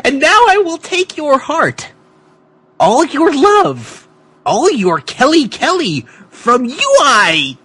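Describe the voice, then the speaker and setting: A melodramatic, spurned vampire woman acted out by a man in a comic fan fiction reading. The voice is extremely high and very drawn-out, wailing and overwrought as it swoops around. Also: huge pitch swings, bright, forceful tone, dry recording, exaggerated voice. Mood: spurned, vengeful. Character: melodramatic heartbroken woman turning into a vengeful bat vampire.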